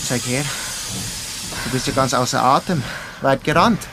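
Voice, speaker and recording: A man's voice: medium pitch, moderate pace, very noisy, lightly acted. An actor playing a jealous, reproachful young man in a German radio drama, speaking accusingly and insistently. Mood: curt, concerned. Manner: accusing, insistent, repeats himself. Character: jealous, reproachful young boyfriend confronting his girlfriend.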